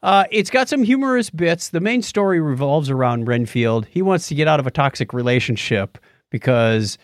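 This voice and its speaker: A man's voice: fairly high pitch, expressive, normal speed, dry recording, studio quality. A middle-aged man chatting casually and conversationally as a podcast co-host. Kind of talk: casual chat.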